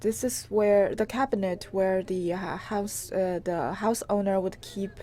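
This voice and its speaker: A woman reporter giving an unscripted live tour on location, in a halting, hesitant way with pauses mid-phrase. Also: medium pitch, steady tone, mellow. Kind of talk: unscripted live walk-through narration. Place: on location inside an old house. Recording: clearly noisy on-location live recording.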